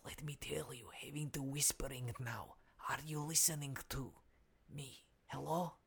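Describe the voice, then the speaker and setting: A man voicing an anxious Ukrainian foreign correspondent in a comic news report, hushed and secretive in broken English. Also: a little high, normal speed. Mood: nervous whispering. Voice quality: hushed, breathy, gravelly.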